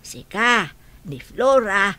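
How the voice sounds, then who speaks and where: A woman in a radio drama playing a kindly elderly grandmother who speaks slowly and gently. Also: mid-range voice, sing-song, exaggerated voice. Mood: gentle.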